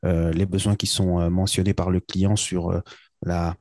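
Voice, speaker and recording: A man's voice: low-pitched, flat delivery, normal speed, a little mellow, no room sound, studio quality. A man from Belgium, a consultant guest in an interview, talking casually and hesitantly with many fillers and restarts.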